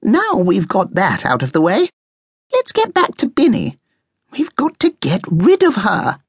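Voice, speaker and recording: A narrator voicing a bossy, impatient female guinea pig in a children's story, sharp and scolding. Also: sits high, huge pitch swings, takes it slowly, no room sound, studio quality, pitched above the performer's own voice, exaggerated voice.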